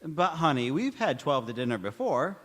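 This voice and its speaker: A man's voice: a little high, very animated, moderate pace. A man playing a boyish, babied husband in 1940s radio comedy style, hesitant and placating. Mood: sheepish. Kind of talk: 1940s radio comedy acting, staged revival. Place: community theatre stage in a church. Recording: echoey hall, long reverb.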